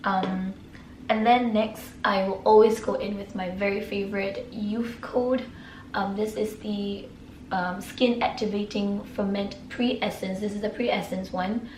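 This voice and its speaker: A woman from Singapore with a hoarse, raspy voice from a sore throat, chatting casually through her nightly skincare products and often clearing her throat. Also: mid-range voice, fairly even intonation, a little slow, darkish tone, roomy sound, slight background noise, rough recording. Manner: chatty, filler-heavy, keeps clearing throat. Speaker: beauty vlogger. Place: room.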